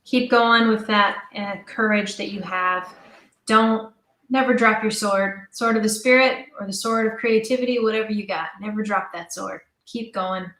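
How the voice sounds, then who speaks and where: A woman's voice: mid-range voice, fairly even intonation, normal speed, fairly bright tone. Woman in an echoey room, chatting casually. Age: young adult. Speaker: interview guest, audio drama writer and producer. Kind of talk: casual interview talk. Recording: roomy, echoey sound.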